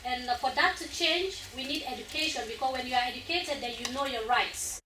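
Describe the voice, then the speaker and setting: A woman's voice: high voice, fairly quick. A woman from Africa moderating a Q&A after a play, with friendly brief prompts. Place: school hall. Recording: echoey hall from far off, noisy.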